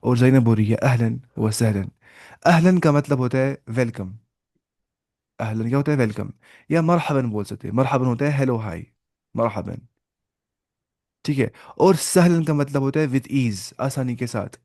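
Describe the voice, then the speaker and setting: A man teaching an online language class over a video call. He explains patiently, repeats points, and slowly sounds out each example phrase. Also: mid-range voice, a little mellow, no room sound, studio quality. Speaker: Arabic teacher.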